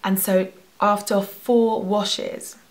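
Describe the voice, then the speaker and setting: A young woman tells a lively, expressive story straight into the camera from her bedroom. Her voice carries a gravelly edge. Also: mid-range voice, very slow. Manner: animated, expressive, gesturing a lot. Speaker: hair vlogger. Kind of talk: storytime vlog. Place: bedroom at home. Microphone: camera at face distance.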